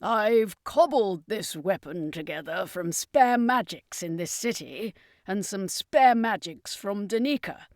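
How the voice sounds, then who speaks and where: A woman voicing a bossy, urgent circus master and magician in a dramatised storybook, with forceful, emphatic delivery. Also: medium pitch, animated, slow, no room sound, studio quality. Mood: grimly explaining.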